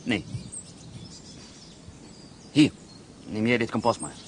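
A cool-headed escaped prisoner. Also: male voice, lowish voice, animated, slow, a little hiss or hum. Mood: calm. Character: escaped German prisoner of war, calm, resourceful. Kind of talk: Dutch radio play acting.

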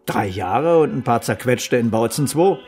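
An actor playing a gruff, forgetful former escape helper, middle-aged, blunt and wry in a naturalistic radio play. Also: male voice, mid-range voice, lively intonation, moderate pace, a little mellow, soft background music, lightly acted. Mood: bitter, sardonic.

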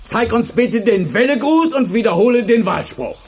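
Commanding, high-pitched male teacher. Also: expressive, darkish tone. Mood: drilling, commanding. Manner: deliberate, authoritative, instructing. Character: commanding, charismatic male high school teacher rallying his class. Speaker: actor in a radio play. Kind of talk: German radio drama acting.

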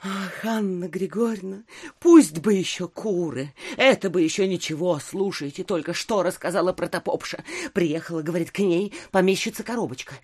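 An actress playing an excitable, breathless provincial society lady who gossips with gushing, theatrical alarm in an audio drama. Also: mid-range voice, breathy edge. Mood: breathless, dramatic. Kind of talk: audio drama acting of classic literature.

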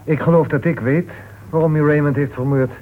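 An actor playing a cool, self-assured male crime novelist in a radio detective drama, explaining things in a measured way. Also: fairly high pitch, fairly even intonation, slight background noise, close to an everyday voice. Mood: confiding, knowing. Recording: thin, tinny old radio recording.

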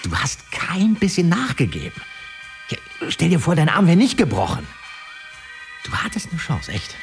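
A woman's voice: low voice, huge pitch swings, moderate pace, soft background music, exaggerated voice. An actress playing a supportive, earnest young woman in a radio drama. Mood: impressed, encouraging.

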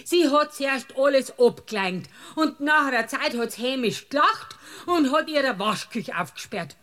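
A woman's voice: sits high, lively intonation, measured pace, sharp tone, exaggerated voice. A woman with a Bavarian accent playing a brazen, talkative village witness in a comic radio drama, folksy and blunt. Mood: indignant. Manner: folksy, blunt, aggrieved storytelling.

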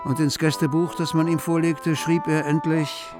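A man's voice: fairly high pitch, level tone, normal speed, loud music behind the voice, lightly acted. A man narrating a literary radio play in an observant, composed way. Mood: composed, wry.

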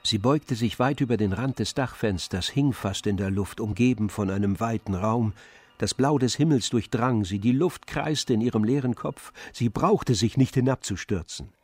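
A man narrating a literary radio drama in a measured, grave manner. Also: medium pitch, a little mellow, husky, no echo.

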